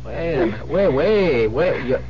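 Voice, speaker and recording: Casting: actor for menacing, suspicious male heavy, rough slangy delivery, medium pitch, old lo-fi radio drama. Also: expressive, measured pace, slight background noise, close to an everyday voice. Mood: menacing realization. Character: suspicious, menacing tough man, bullying.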